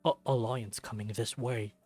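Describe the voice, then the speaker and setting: Man voicing a husky, casual bull bartender. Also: medium pitch, fairly even intonation, normal speed, darkish tone, faint music behind, lightly acted. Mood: nervous, alarmed. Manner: casual, slangy, stammers when nervous. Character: friendly, easily flustered young male bull bartender. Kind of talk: visual novel character reading.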